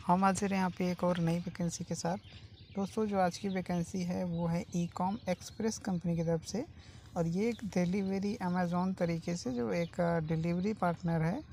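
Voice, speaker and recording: A man hosts a job-vacancy channel, explaining an opening to his viewers in a steady, friendly voice-over. His tone is mellow and relaxed. A faint hiss of noise sits under the recording. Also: sits high, moderate pace, gravelly. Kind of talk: informal informational voice-over. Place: voice-over over a phone screen recording.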